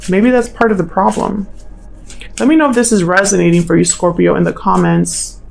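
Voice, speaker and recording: Woman with creaky voice reading tarot. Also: fairly low pitch, fairly quick. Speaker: tarot reader, channel host. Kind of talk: informal spoken tarot reading.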